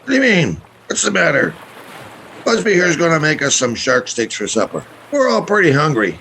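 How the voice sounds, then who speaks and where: Man acting a gravelly, hearty boat captain. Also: fairly high pitch, very animated, normal speed, bright, forceful tone, slight background noise, heavily acted, rough recording. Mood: puzzled. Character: jovial, generous tour boat captain. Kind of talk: radio play acting.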